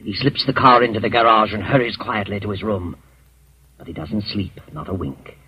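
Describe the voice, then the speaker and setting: A man's voice: on the low side, fairly even intonation, normal speed, husky, no echo. An American man playing an all-knowing, mysterious narrator in a 1940s radio suspense show, taunting and dramatic. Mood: sinister, taunting. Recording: muffled old radio broadcast with music.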